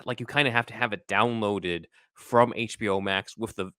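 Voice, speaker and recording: A man's voice: fairly low pitch, steady tone, normal speed, bright, forceful tone, clean tone, no echo, clean studio sound. A man co-hosting a podcast, talking casually in an informal, conversational way. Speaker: podcast co-host. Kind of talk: casual chat.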